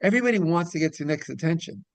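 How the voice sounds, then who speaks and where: A man's voice: on the high side, expressive, rapid-fire, no room sound. A man hosting a casual interview, talking in a rambling, repetitive way. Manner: rambling, repeats phrases, griping about pet peeves. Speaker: interview show host.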